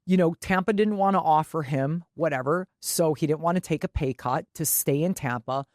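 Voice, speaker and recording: A woman's voice: low-pitched, normal speed, a little mellow, dry recording. Blunt, salty woman talking sports, dry sound. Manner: blunt, salty, heavily swearing, indignant. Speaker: podcast co-host. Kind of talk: casual, opinionated sports talk.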